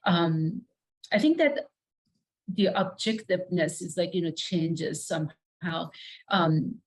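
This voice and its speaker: A woman's voice: on the low side, takes it slowly, husky. A middle-aged woman from Iran, a native Persian speaker, talking reflectively as an interview guest, searching for words and often saying "you know".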